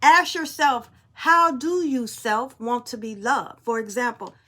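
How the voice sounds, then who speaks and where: A woman's voice: fairly high pitch, expressive, unhurried, sharp tone. A young adult woman speaking in a casual spiritual monologue as a spiritual reader, blunt and emphatic, swearing freely.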